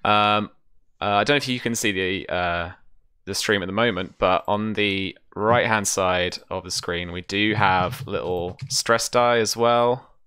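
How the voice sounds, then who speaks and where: A British man with a low, bright voice runs a tabletop game stream. He talks informally and reassuringly as he goes through the rules. The sound is close and dry. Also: measured pace, clear, resonant tone, dry recording. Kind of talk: casual livestream chat explaining a tabletop game. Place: small room at home, on a video call.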